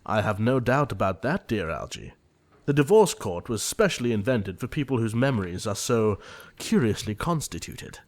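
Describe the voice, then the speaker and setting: A man acting an earnest, easily exasperated young English gentleman in an audio play of a period comedy, formal and emphatic. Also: on the low side, very animated, moderate pace. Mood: dry.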